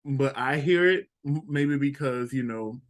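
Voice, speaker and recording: A sassy, playful man with a Texan accent, co-hosting a casual review chat. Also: mid-range voice. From Texas. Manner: sassy, playful, drawn-out emphasis. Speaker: co-host.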